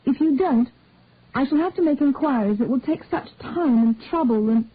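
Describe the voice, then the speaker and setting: A woman's voice: sits high, lively intonation, moderate pace, pitched above the performer's own voice. A woman voicing an insistent young wife who pleads with and demands answers from her parents in a story. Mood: pleading, demanding. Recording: narrowband, tinny phone-like sound.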